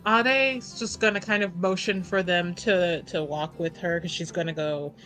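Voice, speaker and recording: A woman's voice: on the low side, normal speed, a bit bright, faint music behind. A woman playing a tabletop roleplaying game, improvising narration and in-character talk.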